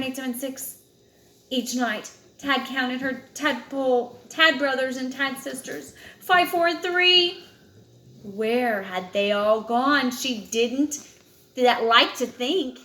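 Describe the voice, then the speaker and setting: A woman's voice: a little high, pushed and bright, reverberant, slight background noise, rough recording. A woman reading a picture book aloud expressively, as a storyteller to a child, with dramatic emphasis on key words.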